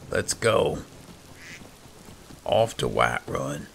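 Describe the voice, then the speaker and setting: A man's voice: a bass voice, sing-song, takes it slowly, a bit bright. A man doing improvised in-character roleplay commentary over a video game, muttering as he talks to himself. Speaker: gaming channel host. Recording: clear background game noise.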